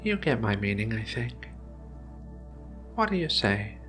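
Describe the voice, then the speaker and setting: A man voicing Ragna's kind of figure, a gentle, caring giant female feline alien researcher, in a soothing, hesitant ASMR roleplay delivery. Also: lowish voice, big pitch swings, a little slow, faint music behind. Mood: gentle, coaxing.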